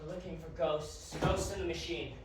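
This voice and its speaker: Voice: medium pitch, steady tone, normal speed, natural voice. Actor as a hesitant agent, heavily echoing. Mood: explanatory. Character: cryptic agent explaining an uncanny mission. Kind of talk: audio drama acting, dialogue. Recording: very echoey, distant, faint noise.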